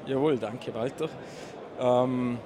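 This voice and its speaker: A man with an Austrian accent gives an informal technical presentation as a surveyor, speaking haltingly and holding back his dialect. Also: mid-range voice. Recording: loud background noise, live talk.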